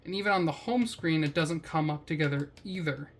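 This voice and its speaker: A woman's voice: deep voice, a little fast, a little hiss or hum. A woman hosting a casual product review, chatting in a wry, slangy and easily amused way. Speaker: product reviewer, vlog host.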